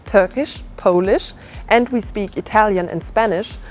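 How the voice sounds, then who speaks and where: A woman's voice: mid-range voice, unhurried. A woman on an agency team, speaking in an interview in a confident, matter-of-fact way.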